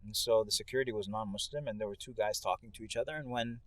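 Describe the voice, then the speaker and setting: A man's voice: lowish voice, fast talker, dry recording. A man in a casual, reflective religious discussion, explaining his points and building them by repeating phrases. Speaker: co-host and speaker on a ride-along talk show. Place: inside a moving car. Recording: in-car recording with clear vehicle noise.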